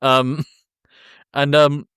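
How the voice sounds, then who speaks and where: A man's voice: a little high, flat delivery, extremely slow, fairly bright tone, clear, resonant tone, no echo. A man co-hosting a podcast, casually recapping a film in a jokey, loose storytelling way. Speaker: podcast co-host.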